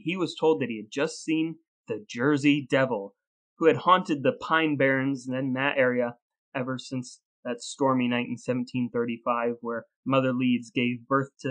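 A man hosting a podcast, telling stories and explaining in a deliberate, playfully grandiose presenter's delivery. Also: mid-range voice, a bit bright, low-quality recording. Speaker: podcast host. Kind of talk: scripted storytelling and conversational explaining.

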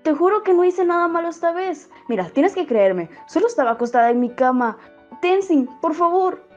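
A woman's voice: very high-pitched, lively intonation, moderate pace. A woman playing a flustered, evasive young heroine in an amateur comic fandub, hesitant and stammering. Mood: defensive, flustered. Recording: dull lo-fi audio under music.

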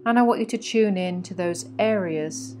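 A woman guiding a meditation in a soothing, instructive way, with frequent pauses between phrases. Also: mid-range voice, darkish tone. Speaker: guided meditation teacher. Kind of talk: guided meditation narration. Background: meditation music with singing bowls.

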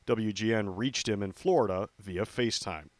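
A man's voice: lowish voice, normal speed, no echo. A middle-aged American man delivering a scripted television news report as a reporter.

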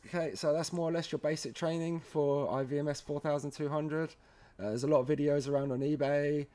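A man presenting a software tutorial in a casual, halting, step-by-step way, often checking in with a short "okay". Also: fairly high pitch, fairly even intonation. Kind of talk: casual screen-recorded software walkthrough.

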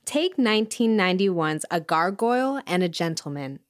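A woman's voice: fairly low pitch, animated, moderate pace, natural voice. A woman playing an earnest music show host, narrating a scripted audio documentary. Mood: measured narration. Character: female music podcast host, earnest storyteller.

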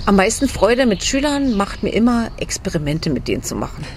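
A woman's voice: mid-range voice, very animated, fairly quick, a little hiss or hum. A middle-aged woman, a school social worker, answering interview questions outdoors into a handheld mic in an earnest, reflective way, sometimes searching for words. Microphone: handheld mic held by interviewer.

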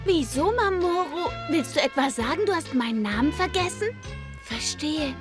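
A woman's voice: high voice, lively intonation, moderate pace, music in the background. A woman voicing a lovesick, boastful teenage schoolgirl heroine in an anime audio drama, whiny and dramatic. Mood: lovesick, hurt. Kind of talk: German-dubbed anime radio play.

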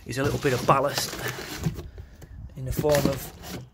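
A man's voice: mid-range voice, level tone, moderate pace, heavy background noise. A man presenting a product in an informal walkaround, matter-of-fact and a little hesitant, recorded outdoors on a handheld phone. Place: concrete yard outdoors by outbuildings. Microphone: handheld phone behind the camera.

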